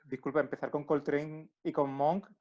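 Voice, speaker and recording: A man's voice: fairly high pitch, little pitch movement, normal speed. A male host opening an interview and asking long, rambling questions in a chatty way. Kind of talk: friendly interview hosting. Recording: dull lo-fi audio with faint music.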